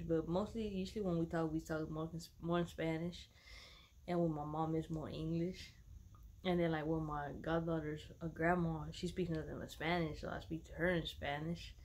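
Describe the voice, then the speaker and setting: A woman in her thirties with a low, gravelly voice, talking to her followers from home. She is from Dallas, Texas and keeps it loose and slangy, rambling along with plenty of fillers. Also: slight background noise. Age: 30s. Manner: laid-back, slangy, rambling with many fillers. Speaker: vlogger. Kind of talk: casual vlog chat to followers.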